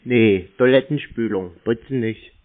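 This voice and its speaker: A man chatting casually on a call, teasing in a deadpan way. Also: medium pitch, unhurried. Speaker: prank call recipient, scam baiter. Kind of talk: casual phone conversation. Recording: slightly muffled call recording.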